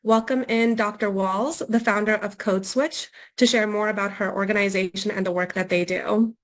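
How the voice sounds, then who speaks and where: A woman's voice: mid-range voice, fairly even intonation, moderate pace. A woman hosting a program, reading a prepared, formal introduction of a guest speaker over a video call. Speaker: program host.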